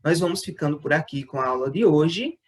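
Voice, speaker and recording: A male school teacher giving a recorded lesson to sixth graders, explaining patiently, checking in often with the class and slowing down to read out his example sentences. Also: on the high side, animated.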